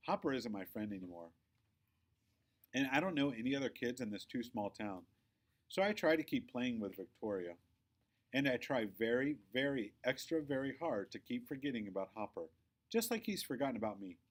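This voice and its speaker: Animated male children's story reader. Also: mid-range voice, moderate pace. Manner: expressive, dramatic phrasing. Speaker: audiobook-style reader. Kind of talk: expressive children's story narration.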